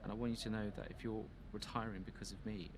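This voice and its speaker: Man playing anxious young racer, lowish voice. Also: level tone, fast talker, noisy background, close to an everyday voice. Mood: apologetic. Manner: hesitant, apologetic. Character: anxious, earnest young male racing driver seeking reassurance. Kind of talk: cinematic video game dialogue.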